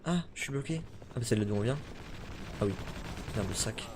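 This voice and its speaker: A man casually chatting and joking while streaming a game, his talk breaking into sudden shouts. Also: mid-range voice, animated, a little fast. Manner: chatty, jokey, shouts in bursts. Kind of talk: casual gaming chat. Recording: noisy game audio with gunfire.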